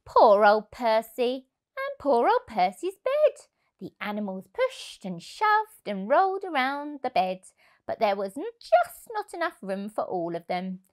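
A woman in her thirties narrating a picture book for young children, expressively and deliberately. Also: fairly high pitch, very animated. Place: indoor room, on a sofa. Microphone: lapel mic.

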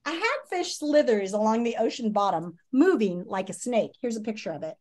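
A woman in her fifties reading a children's nonfiction book aloud in a dramatic, teacherly way with emphatic pauses, on a video call from a room at home. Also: a little high, lively intonation, darkish tone. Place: small home room, indoors. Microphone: video call, computer microphone.

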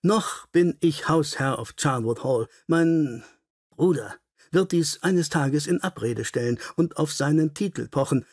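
An earnest, melancholy English lord , played by a male audiobook narrator, gracious and dignified. He speaks with formal sincerity in a husky voice, recorded dry and close. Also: fairly high pitch, unhurried, darkish tone, no room sound. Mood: grateful, gracious.

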